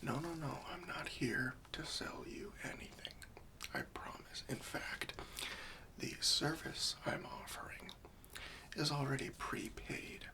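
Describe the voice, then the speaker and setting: A man playing a gentle, patient, faintly wry professional cleanup worker in a softly whispered, hesitant ASMR roleplay. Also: a little high, measured pace, lightly acted, poor audio. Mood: tactful, reassuring. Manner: soft, hesitant, soothing, politely tactful.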